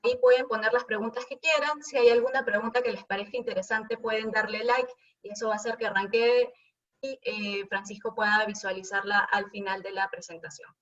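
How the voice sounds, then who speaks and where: A woman's voice: fairly high pitch, steady tone, brisk, fairly bright tone. A woman hosting a webinar, introducing the guest and explaining how the questions will work in a friendly, organized way over a video call. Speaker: webinar host. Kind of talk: event introduction. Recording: dull distant video-call audio.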